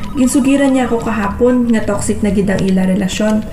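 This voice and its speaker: A woman's voice: mid-range voice, a little fast, mellow, soft background music, lightly acted. A woman playing a hardworking, caring young girlfriend in an amateur radio drama, earnest and conversational, with a Western Visayan Filipino accent. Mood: concerned, resigned. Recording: roomy echo, faint background noise.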